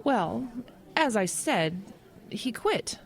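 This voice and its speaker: An actress playing a friendly, overworked small-town office worker in an audio drama, talking in a hesitant, hedging, conversational way. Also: female voice, a little high, big pitch swings, drawn-out words, gravelly, heavily acted. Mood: evasive.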